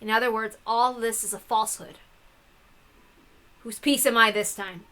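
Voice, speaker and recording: Woman acting a bright-voiced troubled young heroine. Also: fairly high pitch, fairly even intonation, moderate pace. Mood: defiant.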